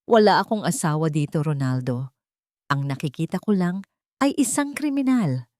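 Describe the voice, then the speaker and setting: A woman voicing a wronged wife in an audiobook drama, cold, deliberate and vengeful. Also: low voice, big pitch swings, darkish tone, no echo, studio quality. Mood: icy.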